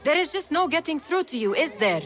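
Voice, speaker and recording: A woman's voice: high voice, sing-song, fairly quick, a crowd in the background. An actress playing a skeptical, loyal young woman thief in a video game, with a dry, chiding, formal manner. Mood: exasperated, chiding. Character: sharp-tongued, skeptical young female street thief, loyal companion. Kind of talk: dramatic video game voice acting. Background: music.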